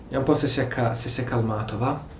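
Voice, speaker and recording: A man's voice: mid-range voice, racing, roomy sound, a little hiss or hum. A man giving casual, reactive commentary as he plays a video game. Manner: animated, reactive. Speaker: gameplay streamer.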